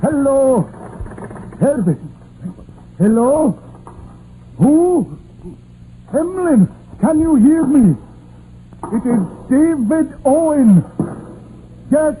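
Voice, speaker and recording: An actor playing a trapped miner, urgent and insistent, in an old radio drama. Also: male voice, extremely high pitch, animated, extremely slow, long pauses, warm tone, exaggerated voice. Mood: urgent, strained. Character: distressed trapped Welsh miner on a phone. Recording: muffled old radio broadcast, hissy.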